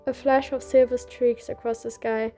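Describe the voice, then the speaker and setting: A woman's voice: fairly high pitch, little pitch movement, moderate pace, mellow. A woman reading a game story aloud, both the narration and the dialogue. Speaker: reader. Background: faint music.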